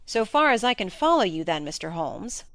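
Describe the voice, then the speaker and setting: A blunt, eager baronet, put on by a woman narrator questions and follows along emphatically. The pitch swings in a lively way, and the audiobook recording is dry and close. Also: moderate pace, no echo. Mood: following along. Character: blunt, inquisitive male baronet, eager to understand. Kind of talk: audiobook character reading.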